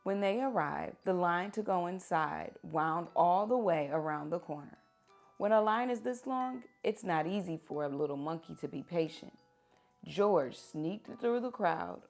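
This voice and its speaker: A woman reading picture books aloud to children in an expressive, deliberate teacher's manner, prompting them with questions. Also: on the low side, vocal fry, soft background music.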